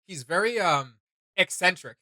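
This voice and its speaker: A man's voice: high voice, animated, normal speed, pushed and bright, no room sound, studio quality. A man hosting a reaction video in casual commentary, often hesitating as he searches for words.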